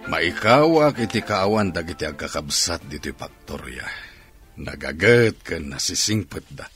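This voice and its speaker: A man's voice: sits low, animated, normal speed, a bit bright, faint music behind. An actor playing an approving man at a factory in a radio drama, with an Ilocano accent. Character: approving man discussing diligent factory workers. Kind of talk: Filipino radio drama acting.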